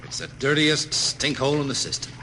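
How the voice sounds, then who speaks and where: A man's voice: medium pitch, lively intonation, a little fast, pushed and bright. An actor playing a gritty, wistful spaceman narrator in a hard-boiled 1950s radio drama. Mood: gruff, blunt. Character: weathered spaceman narrator, gritty, wistful old shipmate of a singer. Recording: old 1950s radio broadcast, noisy.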